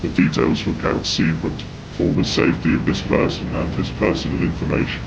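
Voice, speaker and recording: Male narrator for scripted horror, deep and heavily fried whispery rasp, grave delivery, muffled noisy audio. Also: fairly even intonation, a little fast. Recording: dull, noisy lo-fi audio with rumble.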